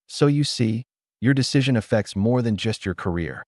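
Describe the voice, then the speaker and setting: A man's voice: mid-range voice, animated, moderate pace, darkish tone, dry recording, studio quality, close to an everyday voice. Guy reading as a fake-friendly corporate boss, polite but kinda threatening. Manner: falsely jovial, politely threatening. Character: smooth, calculating male corporate executive.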